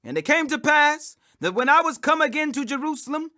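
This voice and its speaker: High-pitched, emphatic man reading scripture. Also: animated, pushed and bright. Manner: emphatic, declamatory, with drawn-out stressed phrases. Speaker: scripture reader. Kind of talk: dramatic scripture reading, preacher-like declamation.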